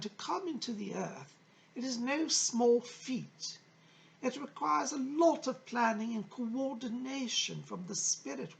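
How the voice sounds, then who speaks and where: A gushing, genteel lady spirit played by a woman teaches with motherly affection. Her highish voice swoops theatrically up and down and drops into creaky tones as she delivers channelled wisdom. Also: rough recording. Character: Rose, effusive, motherly female spirit from the early 1900s.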